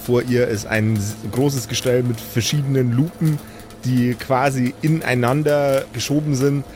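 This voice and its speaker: A man's voice: mid-range voice, steady tone, measured pace, a little mellow, soft background music. A man improvising scene narration as a game master.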